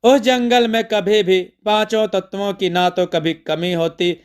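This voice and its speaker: A man narrating a scripted mythological tale as a storyteller, in measured, deliberate phrases with pauses. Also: sits high, fairly even intonation, clear, resonant tone.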